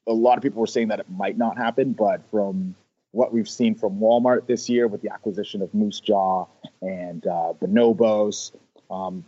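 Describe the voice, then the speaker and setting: A man's voice: on the low side, mellow, muffled recording. A man from Canada, a guest in a casual interview, talking with many fillers and restarts over remote call audio. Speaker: guest, sales rep.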